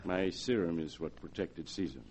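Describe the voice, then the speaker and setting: An actor playing a cautious male scientist professor in 1940s radio drama, speaking in a measured, formal, precise way. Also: low voice, darkish tone, husky. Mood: cautious, reluctant.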